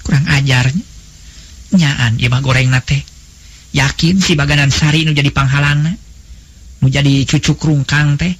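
A man's voice: fairly high pitch, fairly even intonation, moderate pace, long pauses, slightly rough, pitched above the performer's own voice. A man voicing an impatient, jealous young noblewoman, a demanding younger sister with a Sundanese accent, in a storybook tale. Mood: angry, jealous. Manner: eager, demanding.

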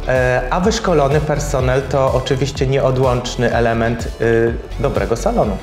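Measured man in a roomy salon. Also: medium pitch. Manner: measured, slightly formal with filler pauses. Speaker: salon co-owner. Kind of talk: sponsored testimonial interview. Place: bright hair salon, indoors. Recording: roomy salon with soft background music.

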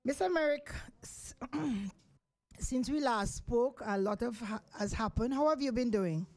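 A woman's voice: on the high side, measured pace, darkish tone. A middle-aged woman with a Jamaican accent hosting a live radio talk show from a studio, talking in a rambling, chatty way with many fillers. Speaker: radio host. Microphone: studio microphone.

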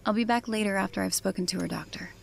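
A woman playing a brisk, considerate helper in an audio drama, with a gravelly voice. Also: medium pitch.

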